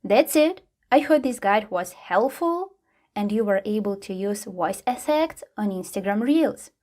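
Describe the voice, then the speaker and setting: A woman hosting a tutorial, reading scripted step-by-step how-to narration at a medium pitch. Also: animated, a little slow, darkish tone. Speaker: tutorial host.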